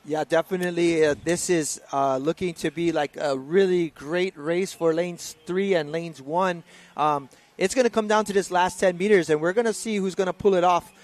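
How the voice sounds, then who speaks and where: Brief: male co-commentator, slightly high voice, laid-back, halting delivery with lots of "uh". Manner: relaxed, hesitant, many filler words. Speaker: race commentator. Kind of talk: live sports commentary.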